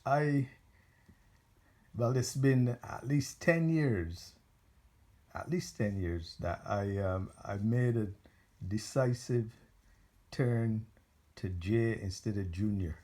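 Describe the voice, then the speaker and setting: A man from Barbados, interviewing informally in halting, deliberate phrases. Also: lowish voice, a little mellow.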